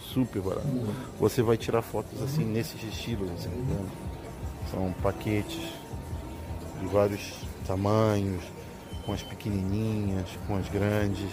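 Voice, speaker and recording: A man's voice: on the low side, flat delivery, measured pace, music in the background. A middle-aged Brazilian man vlogging casually on a handheld phone as he walks through a large indoor market. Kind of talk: casual walking tour narration. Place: large indoor swap meet. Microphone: handheld phone camera. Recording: busy indoor market crowd behind.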